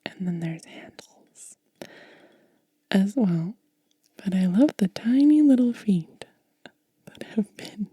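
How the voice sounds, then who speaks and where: A woman hosts ASMR in a breathy, hushed whisper. She moves extremely slowly through short, gentle phrases with pauses between them. The sound is close and very dry, with a soft, mellow tone. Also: fairly low pitch, no echo. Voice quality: breathy, mostly unvoiced whisper. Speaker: ASMR host. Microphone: close ASMR microphone.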